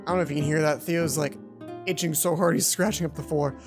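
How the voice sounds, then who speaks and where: A woman's voice: deep voice, fairly even intonation, fast talker. A woman hosting a let's play, reading a visual novel aloud with casual asides, music behind her.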